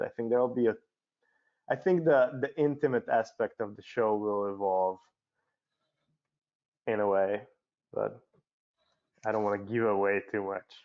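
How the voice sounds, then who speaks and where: A low-pitched man with a Hungarian accent talks as a guest in a panel conversation. He feels his way through sentences, often repeating words. The sound is dull, like a video call. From Budapest, Hungary. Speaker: cinematographer, interview guest. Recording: muffled lo-fi online call.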